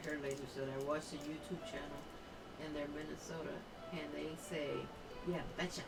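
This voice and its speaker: Woman, stream co-host reading comments, deep husky-gravelly, distant, heavy music. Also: flat delivery, normal speed. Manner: cheerful, giggly, reading comments aloud.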